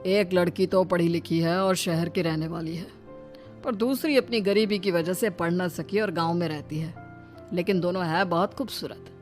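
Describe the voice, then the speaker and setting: A woman's voice: fairly low pitch, moderate pace, a little mellow, faint music behind, lightly acted. A woman voicing a genial, persuasive village elder brother-in-law in a cartoon story.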